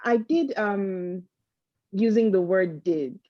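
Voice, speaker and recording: A woman, a book club member, asking the author a question in a hesitant, polite way with many pauses, over a video call. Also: medium pitch, no room sound. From Nigeria. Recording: dry, slightly muffled call audio.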